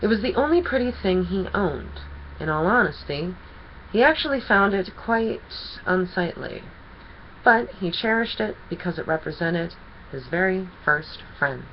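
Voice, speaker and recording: A woman reading a children's story aloud as an expressive storyteller. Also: on the low side, unhurried, dull audio, slight background noise.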